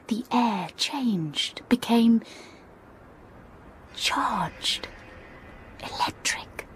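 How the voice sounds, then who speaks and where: An actress playing a reserved, melancholy young gentlewoman, narrating her life in a measured way in a literary audio drama. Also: a little high, lively intonation, in a whisper. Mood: restrained, resigned. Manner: measured, sombre. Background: music.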